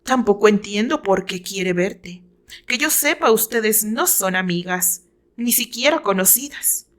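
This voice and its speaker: A woman's voice: medium pitch, animated, measured pace, fairly bright tone. A woman voicing a serious, suspicious male musician in a dramatized story, stiff and probing. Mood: puzzled. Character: serious, suspicious man, a musician questioning his lover.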